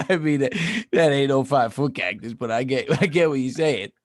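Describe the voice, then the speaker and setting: A man's voice: mid-range voice, moderate pace, no room sound. A man co-hosting a podcast in casual chat, easygoing and teasing in his banter. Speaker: podcast co-host.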